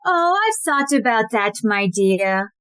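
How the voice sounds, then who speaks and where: A woman's voice: on the high side, big pitch swings, slow, fairly bright tone, smooth, no echo, heavily acted. A woman playing a cold, menacing, commanding society wife turned murderer in an audio play.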